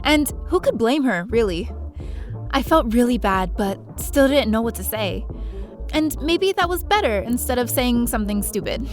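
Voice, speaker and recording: Woman acting young space heroine narrating her adventures, high voice, really animated, earnest. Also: moderate pace, faint music behind, lightly acted. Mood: reflective. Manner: expressive storytelling. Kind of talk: first-person audio drama narration.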